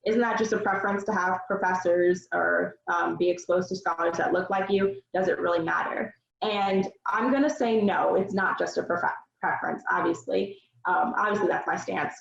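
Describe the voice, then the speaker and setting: Young woman presenter, gravelly voice, roomy and lo-fi, earnest and measured. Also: medium pitch. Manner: earnest, measured, frequent "um" fillers. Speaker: research presenter. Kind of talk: seminar presentation. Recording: roomy, dull lo-fi sound.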